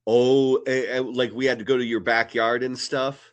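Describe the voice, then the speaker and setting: A middle-aged man chatting casually and jokingly, telling things in a playful, animated way. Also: mid-range voice, moderate pace, no echo, highs cut off.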